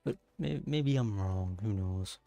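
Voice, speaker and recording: Gravelly, mellow-voiced man playing a game. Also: on the low side, animated, unhurried, no echo, faint music behind. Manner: thinking aloud, muttering and exclaiming to himself. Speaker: gaming streamer playing and commenting. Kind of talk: casual live gameplay commentary.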